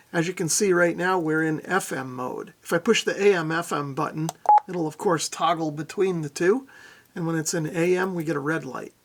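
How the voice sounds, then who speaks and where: A man's voice: fairly high pitch, creaky, fairly bright tone. A middle-aged man narrating a casual hands-on product demo, explaining things methodically and without hurry. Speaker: radio reviewer, presenter.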